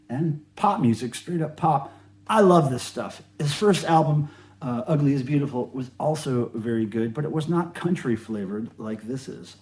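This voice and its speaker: A man with a moderately high-pitched voice hosts a casual collector's vlog. He talks in an offhand, rambling, conversational way, like someone showing items to fellow hobbyists. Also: darkish tone. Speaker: record collector host. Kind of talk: casual show-and-tell vlog about records.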